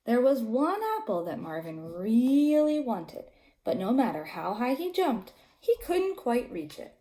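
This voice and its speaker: A woman's voice: a little high, sing-song, measured pace, mellow, reverberant. A woman reading a storybook aloud to young children like a teacher, with simple, emphatic phrasing.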